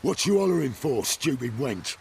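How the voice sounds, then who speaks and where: An actor voicing a jeering, bullying street thug in a video game, with creaky voice and coarse, mocking speech. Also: male voice, medium pitch, big pitch swings, normal speed, a little mellow, a little hiss or hum. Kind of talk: video game dialogue acting.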